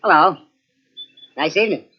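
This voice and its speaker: An actor playing an easygoing small-time pickpocket with casual, slangy street talk in a vintage radio mystery. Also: male voice, fairly high pitch, moderate pace, lightly acted. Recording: muffled vintage radio drama on cassette.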